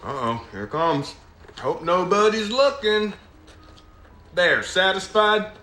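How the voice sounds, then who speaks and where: A man plays a courteous, easily flustered Southern American in a screen drama. His voice is bright and very lively in pitch, with stammered, apologetic phrasing. The room echoes around him and music sits behind. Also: slow, exaggerated voice. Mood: awkward, uneasy.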